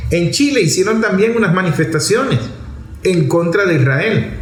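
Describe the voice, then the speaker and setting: High-voiced man, echoey political commentary. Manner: emphatic, didactic, repeats phrases for effect. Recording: echoey room with music underneath. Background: music.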